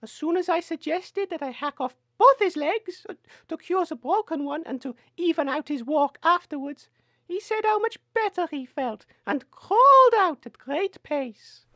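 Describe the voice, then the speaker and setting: A woman's voice: very high-pitched, lively intonation, moderate pace, fairly bright tone, no room sound, clean studio sound. A woman playing a cheerfully menacing quack lady doctor with French phrases in a theatrical comedy sketch. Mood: smugly sinister. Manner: theatrical, politely sinister. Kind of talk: audio sketch comedy acting.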